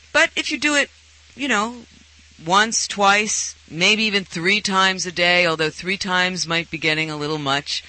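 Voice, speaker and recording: A man's voice: sits high, pushed and bright, poor audio. A man hosting a call-in talk show, giving advice in a conversational style.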